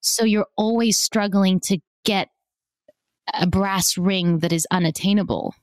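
A woman, a podcast guest speaking candidly and emotionally, often halting as she reflects. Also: medium pitch, smooth, dry recording. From born in South Africa, raised in Australia. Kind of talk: candid personal conversation.

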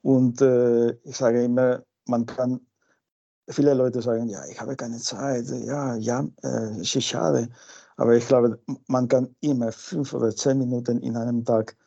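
A man from Peru, a native Spanish speaker with a Peruvian Spanish accent, answering interview questions informally and haltingly, searching for words. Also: mid-range voice, fairly even intonation, vocal fry, mellow. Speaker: interview guest. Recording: dull lo-fi sound.

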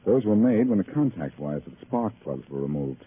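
A thin, hissy old radio recording of a cool, wisecracking detective. His voice is low and moves with lively inflection. He banters wryly and stays unruffled, in 1940s drama style. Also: fairly quick, slightly rough, heavily acted. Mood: wry, probing. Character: wisecracking, self-assured amateur detective, adult man. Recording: noisy narrow-band old-time radio recording.

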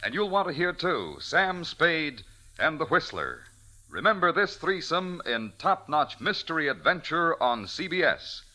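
A man's voice: a little high, sing-song, unhurried, bright, forceful tone, low-quality recording. A man announcing a network radio promotion in a polished, emphatic 1940s style.

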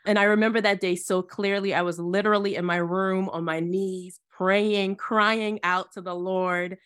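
A woman from Ghana, a Bible teacher, telling her story in an earnest, measured way in an interview. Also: medium pitch, fairly even intonation, fairly bright tone, clear, resonant tone, dry recording, studio quality. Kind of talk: interview, personal testimony.

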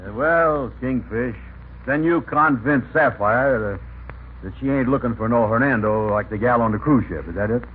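A man playing an easygoing, slow-witted sidekick in radio comedy, talking in folksy nonstandard grammar. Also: mid-range voice, slightly rough, lightly acted. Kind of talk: 1950s radio comedy acting. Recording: noisy, narrow-band old radio recording.

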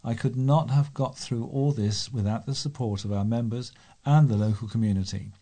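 A British man reads local news items from a script as a volunteer newsreader. His voice is mellow and dark in tone, sitting at an average pitch for a man. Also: moderate pace.

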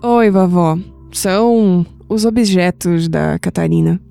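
An actress playing an anguished young Brazilian granddaughter in an audio drama, emotional and hesitant, with sighs. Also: female voice, lowish voice, slow pace, creaky, mellow, clean tone, dry recording. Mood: troubled, resolute. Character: anguished, skeptical young granddaughter unsettled by past-life visions. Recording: music bed under the voice.

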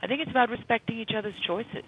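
A woman's voice: a little high, fast talker, fairly bright tone, husky, low-quality recording. A woman speaking earnestly and emphatically as a guest in a conversational radio interview.

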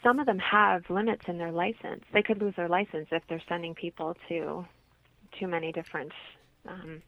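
A woman from Canada with a creaky, slightly low voice gives explanatory answers as a health practitioner being interviewed. The recording is a little dull and lo-fi, like modest radio interview audio. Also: steady tone, normal speed.

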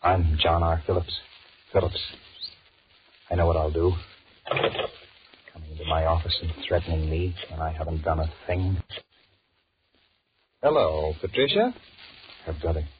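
Male actor as cowardly, blustering middle-aged executive, very low voice, anxious stammer and muttered thoughts, old-time radio horror, dull noisy recording. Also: moderate pace, long pauses, natural voice. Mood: brooding, indignant. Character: panicky married businessman, blustering and cowardly, secretly scheming. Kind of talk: 1940s radio horror acting with inner monologue.

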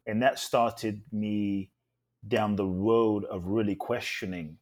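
A man who grew up in London, speaking as a guest in a podcast interview. His voice sits on the low side, and he tells his story earnestly and thoughtfully. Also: steady tone, slow, darkish tone. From Ghana, raised in London.

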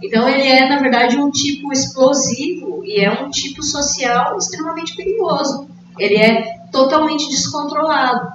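A woman's voice: high voice, normal speed, hardly any pauses. Echoey, distant Brazilian woman explaining books. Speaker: literature expert guest. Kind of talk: casual book discussion. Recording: echoey, distant, dull, noisy room recording.

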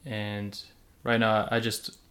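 A man's voice: on the low side, completely flat delivery, takes it slowly, bright, forceful tone. Easygoing young American man, hedging. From United States. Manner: easygoing, hedging with "you know" and "I guess". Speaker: podcast co-host. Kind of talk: casual religious podcast talk.

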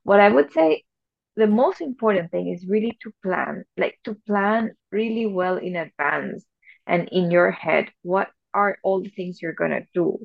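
Gravelly-voiced woman from Venezuela, chatty. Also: mid-range voice, a little slow, no room sound, dull audio. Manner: chatty, many fillers and restarts. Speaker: podcast co-host. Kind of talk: casual chat.

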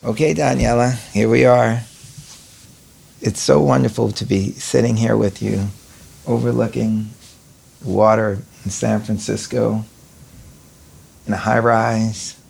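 A man with a gravelly voice hosting a conversational podcast interview. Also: lowish voice, flat delivery, takes it slowly, long pauses, darkish tone. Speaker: interview host.

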